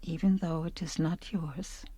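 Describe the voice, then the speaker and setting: A woman's voice: sits low, steady tone, unhurried, warm tone, slightly rough, no echo. A woman acting a restless, dissatisfied fisherman's wife in a radio play. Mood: subdued, resigned.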